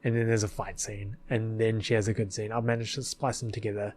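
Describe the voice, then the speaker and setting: A man's voice: lowish voice, level tone, fairly quick, heavy background noise. A man giving casual, rambling commentary as a video creator, thinking aloud in an informal, hesitant way. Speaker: video creator explaining his fan film edit.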